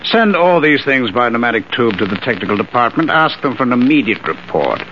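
An actor playing a stern, formal army colonel in an old-time radio drama, clipped and authoritative. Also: male voice, medium pitch, very animated, a little fast, natural voice. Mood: stern, commanding. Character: stern male army colonel of a future underground war. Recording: muffled, noisy vintage radio recording.

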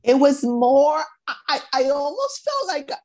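A middle-aged woman from the Bahamas, a guest talking thoughtfully in a casual interview, with many pauses and restarts. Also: high voice, sing-song, a little mellow.

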